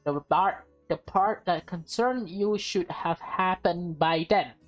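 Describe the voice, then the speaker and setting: A woman acts out a teenage boy from a visual novel who is posing as a polite maid. The speech is nervous and halting, with overly formal phrasing. It moves slowly over soft music. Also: fairly low pitch, low-quality recording. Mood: secretive, determined. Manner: halting, stammering, overly formal when covering up. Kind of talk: visual novel dialogue read in character.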